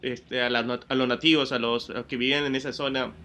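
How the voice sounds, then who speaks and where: A man's voice: mid-range voice, level tone, fast, pushed and bright, slight background noise. A man from Peru co-hosting a casual radio chat, joking and rambling. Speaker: radio co-host.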